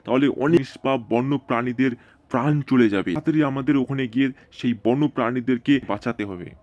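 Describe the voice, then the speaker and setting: Dull-sounding, fast-talking army commander played by a man. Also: medium pitch, darkish tone, dry recording, lightly acted. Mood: urgent. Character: earnest male army officer, protective team commander. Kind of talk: dramatic cartoon dubbing.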